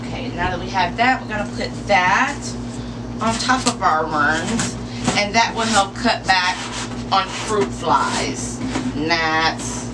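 A woman hosting an informal step-by-step how-to demonstration in a deliberate, instructional way, picked up by a camera a few metres away. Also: mid-range voice. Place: enclosed porch or sunroom. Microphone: camera mic a few metres away. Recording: distant mic, clearly noisy with background hum.

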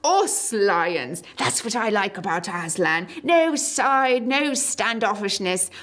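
A woman doing an excitable, boastful lion. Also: medium pitch. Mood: excited. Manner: excited, rapid, repetitive.

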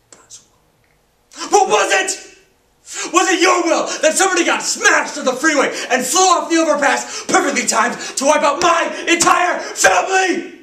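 A man performing a dramatic audition monologue as a grief-stricken teenager, emotional and halting, in an echoey room far from the microphone. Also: medium pitch, full character voice. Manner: emotional, halting, swinging from whispers to shouts. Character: grief-stricken teenager who lost the whole family.

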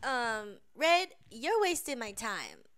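Woman as high, blunt cartoon villainess. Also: sing-song, slow, a bit bright, exaggerated voice. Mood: impatient. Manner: blunt, no-nonsense. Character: blunt, impatient, cool pink female impostor. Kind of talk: animated game parody comedy.

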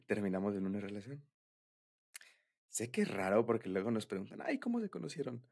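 A man's voice: lowish voice, huge pitch swings, normal speed, vocal fry, darkish tone, husky. A young man with a Mexican accent in a casual, confiding boyfriend ASMR roleplay, bashful and hesitant.

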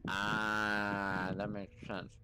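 A man's voice: fairly low pitch, monotone, drawn-out words, pushed and bright. A man chatting casually in an online roleplay game, with hesitant, laid-back phrasing. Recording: noisy game voice chat.

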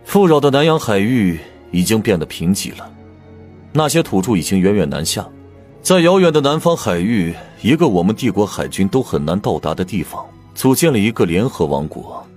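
An actor playing a grave, troubled imperial prince in an audiobook drama, speaking candidly with measured emphasis. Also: male voice, fairly low pitch, very animated, darkish tone, soft background music. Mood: troubled, indignant.

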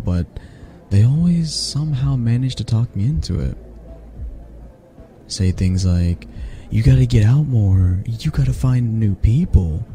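Guy playing a teasing half-dragon college dude, flirty asmr roleplay, low voice n kinda gravelly. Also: mellow, exaggerated voice. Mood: wry, casual. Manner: teasing, sardonic, unhurried. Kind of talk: intimate ASMR roleplay acting.

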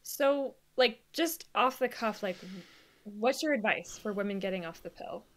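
A young woman talks over a webcam from her bedroom, interviewing guests in a chatty, casual way full of fillers. Her voice sits at a middle pitch for a woman. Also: lively intonation, unhurried. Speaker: interviewer, co-host. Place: bedroom indoors. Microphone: webcam on video call.

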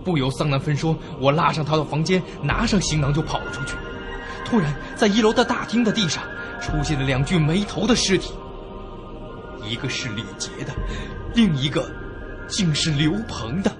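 A man's voice: a little high, animated, moderate pace, close to an everyday voice. An actor playing a terrified young man who narrates his own horror ordeal in a suspense radio drama, breathless and dramatic. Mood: tense narration. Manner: breathless, dramatic, swinging from hushed to panicked. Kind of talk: Chinese suspense radio drama acting and first-person narration. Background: dramatic background music.

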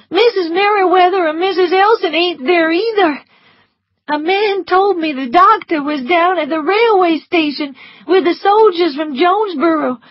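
A woman reading a story aloud, voicing a frightened young servant girl in a high, shaky voice close to tears. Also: no room sound, lo-fi sound.

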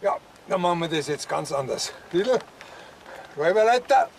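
Animated Bavarian cop. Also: male voice, high-pitched, moderate pace, vocal fry, slight background noise. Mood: blunt, matter-of-fact. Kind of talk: TV crime comedy acting.

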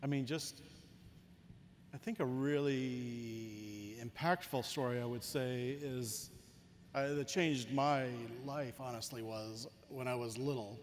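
A man in his forties on a panel, telling a personal story in an earnest, halting way. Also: mid-range voice. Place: large convention stage, indoors. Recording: long hall reverb, faint noise.

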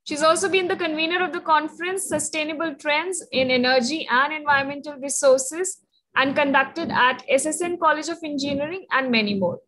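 A young woman with a high, clear voice reads a formal introduction for a webinar guest. She works steadily through a long list of credentials, speaking into a webcam from an office. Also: fairly quick, a bit bright, rough recording. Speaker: webinar moderator. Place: college office, indoors. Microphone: video call webcam.

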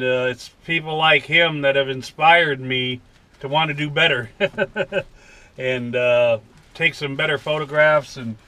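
Slow-talking American man, bright voice. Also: on the high side. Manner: folksy, unhurried. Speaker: vlogger. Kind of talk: casual unboxing vlog. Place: inside a parked truck cab.

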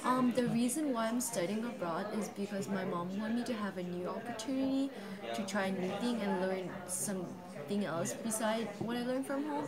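A teenage girl from Vietnam with a Vietnamese accent, a high school student talking earnestly in an interview, with simple phrasing and fillers. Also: normal speed, over a noisy crowd, rough recording. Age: teens. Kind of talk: interview testimonial. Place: school library, indoors. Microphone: camera on table, seated medium shot.

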